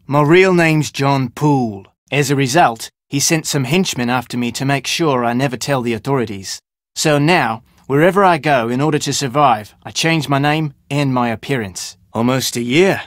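An actor playing a gruff, wary Australian fugitive in a video game, with brusque, slangy talk. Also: male voice, medium pitch, normal speed, no echo, studio quality, lightly acted. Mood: guarded, confiding. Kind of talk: video game character dialogue.

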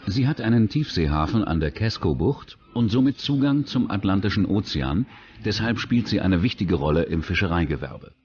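A man's voice: deep voice, expressive, normal speed, darkish tone, dull audio, a little hiss or hum. A man reading scripted true-crime documentary narration as the narrator. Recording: music bed behind the voice.

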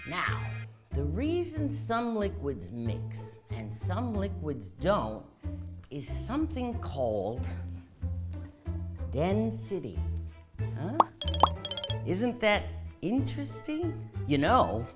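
A goofy, cheerful, middle-aged school coach in a kids' comedy. He talks slowly in a clownish, rambling way, a bit dim, over prominent music. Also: on the high side, wildly swooping pitch, full character voice. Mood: pondering. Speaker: school coach and science teacher.

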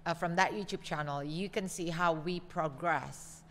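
Earnest young woman in a boomy room. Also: fairly bright tone, in a large hall, a little hiss or hum. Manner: earnest, halting, with many restarts. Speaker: co-host, wife of the course creator. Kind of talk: casual sales testimony chat. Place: home living room, indoors. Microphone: studio mic on boom arm.

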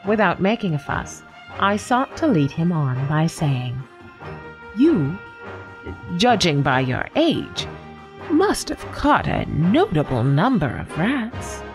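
A woman's voice: on the low side, very animated, slow, over music, exaggerated voice. A woman playing a genteel, sly cat who narrates a radio story with polished, wry formality. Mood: sly, flattering.

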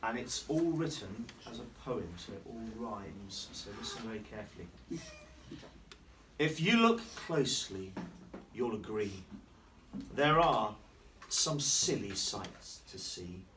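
A middle-aged man reads a silly picture book aloud to young children, his voice bouncing up and down in a lively, sing-song way. He slows down for the rhyming lines and stops to quiz the class. He is heard from across a classroom on a distant camera, with plenty of background noise. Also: on the low side. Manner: playful, asks the children questions and slows down on the rhymes. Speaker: headmaster. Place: primary school classroom, indoors. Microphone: camera across the room.

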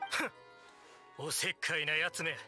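A man's voice: fairly high pitch, steady tone, normal speed, bright, forceful tone, soft background music. An actor playing a boastful middle-aged male adventurer in a video game, speaking in a pompous, theatrical way. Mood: haughty, proud. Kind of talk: Japanese video game voice acting.

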